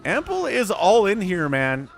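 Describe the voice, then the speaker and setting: A man calling a live strategy game match solo, his voice a little hoarse and stuffy from a cold, swinging from calm analysis to loud exclamations. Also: high voice, unhurried, a little hiss or hum. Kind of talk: live esports play-by-play commentary.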